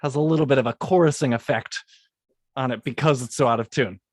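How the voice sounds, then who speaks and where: A man's voice: mid-range voice, fast talker, dry recording, studio quality. A man, a music composer, explaining how he built his theme piece, partly reading and correcting himself as he goes. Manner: restarts and corrects his script lines.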